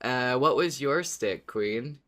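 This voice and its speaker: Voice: mid-range voice, slow pace, fairly bright tone. A podcast co-host chatting casually in a playful, teasing manner. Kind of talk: casual chat.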